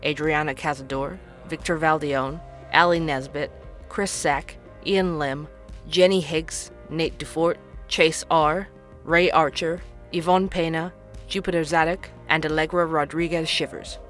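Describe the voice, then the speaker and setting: An actor playing a male podcast host who investigates cold cases, speaking in a calm, measured, explanatory way. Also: a little high, faint music behind.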